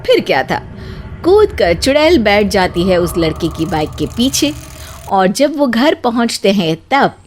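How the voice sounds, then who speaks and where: A woman narrator reading a scripted story in an expressive storytelling style. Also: mid-range voice, a little slow, a little hiss or hum.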